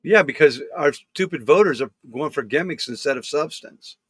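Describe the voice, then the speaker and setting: A man's voice: on the high side, lively intonation, moderate pace, fairly bright tone, slightly rough, no echo, studio quality. A man chatting casually about politics, blunt and opinionated, speaking matter-of-factly.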